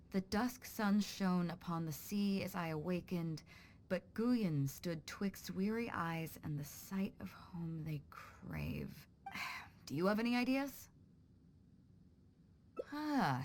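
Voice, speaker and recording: An actress playing a bold, confident female pirate captain in a video game, breezy and self-assured, casual yet commanding. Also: medium pitch.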